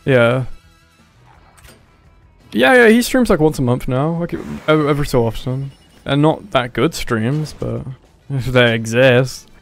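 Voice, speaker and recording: A man's voice: mid-range voice, expressive, slow, gravelly, pauses often, soft background music. A man hosting a casual gaming livestream, talking in a hesitant, mumbly way with lots of swearing. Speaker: livestream host. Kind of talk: casual gaming stream chat.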